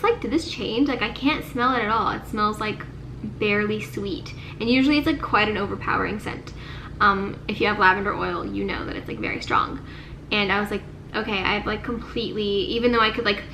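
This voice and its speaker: A woman's voice: mid-range voice, pushed and bright, a little hiss or hum, low-quality recording. Congested, nasal-sounding young woman vlogging. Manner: chatty, sprinkles speech with "like". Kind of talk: casual chat to camera.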